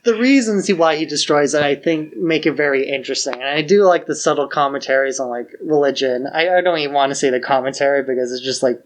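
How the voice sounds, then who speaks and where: A man's voice: a little high, fast, few pauses. A man co-hosting a podcast, chatting about a show in an informal, blunt way. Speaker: podcast co-host. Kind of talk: casual review chat.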